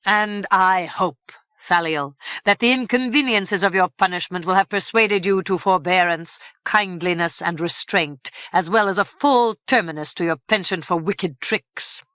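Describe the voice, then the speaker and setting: A woman voicing a stately, grave fairy king who speaks in a measured, formal way. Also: on the low side, a bit bright, clean tone, no echo. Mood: solemn.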